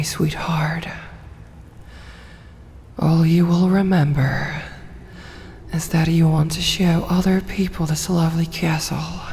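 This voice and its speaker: A woman's voice: fairly low pitch, dark tone. A woman voicing a possessive, seductive vampire in a hypnotic ASMR roleplay, whispering breathily in a coaxing, commanding yet doting way. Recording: long hall reverb, faint hiss and ambience.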